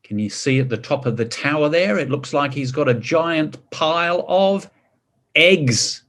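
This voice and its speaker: A man reading a picture book to children, expressive, drawing out words and adding chatty asides and questions. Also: on the high side, unhurried. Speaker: story reader.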